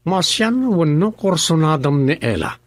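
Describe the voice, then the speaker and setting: A man's voice: on the high side, big pitch swings, measured pace, no room sound, studio quality. An actor playing a defensive young man in Ilocano radio drama, with a Filipino accent. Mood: defensive, challenging.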